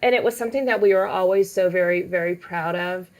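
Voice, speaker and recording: A woman's voice: on the low side, quick pace. A woman from Texas speaking as a panelist, earnest, with frequent ums and you-knows. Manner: earnest, fillers like um and you know. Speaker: panelist, associate dean. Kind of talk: panel discussion.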